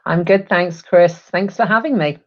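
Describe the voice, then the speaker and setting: Woman, middle-aged, low voice, dull call audio, chatty with ums. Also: moderate pace. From England. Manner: chatty, upbeat, frequent ums. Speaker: guest, HR executive. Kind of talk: casual interview talk. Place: home room, video call.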